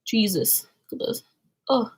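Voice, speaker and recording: A woman chatting casually with her sister on a livestream, rambling and giggling as she reads out viewer comments. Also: measured pace. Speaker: livestream co-host. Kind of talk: casual livestream chat with a sibling.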